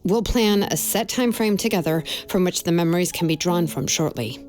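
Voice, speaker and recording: A woman voicing a composed, articulate young craftswoman in a video game, firm yet caring, with a measured, matter-of-fact delivery. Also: mid-range voice, close to an everyday voice. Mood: firm, businesslike. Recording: background music under the dialogue.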